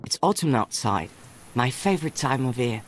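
A man's voice: mid-range voice, animated, normal speed, natural voice. Man voicing an earnest young boy, noisy ambience. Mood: reflective. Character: young boy Lars, earnest, protective older brother. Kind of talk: scripted game character narration.

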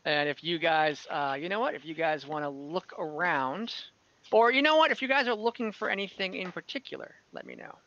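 Game master, young man, bright and a bit high, laid-back and rambling, dull call audio. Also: a little fast. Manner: relaxed, rambling, many fillers. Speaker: dungeon master. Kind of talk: running an online tabletop game. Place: home room.

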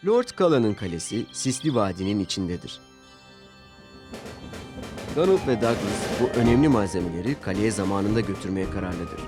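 A man's voice: lowish voice, animated, normal speed. A man narrating a scripted children's story as the storyteller. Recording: music bed behind narration. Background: music.

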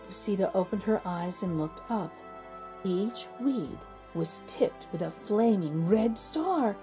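A middle-aged woman hosting a children's read-aloud, narrating in an expressive, deliberate, teacherly way. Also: medium pitch. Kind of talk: storybook narration. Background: music.

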